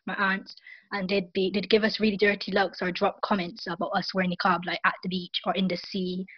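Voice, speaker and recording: Barbadian young woman, hesitant and soft-spoken. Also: mid-range voice, little pitch movement, fairly quick, breathy edge, no echo, lo-fi sound. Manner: hesitant, many fillers and restarts. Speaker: guest. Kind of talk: casual interview talk.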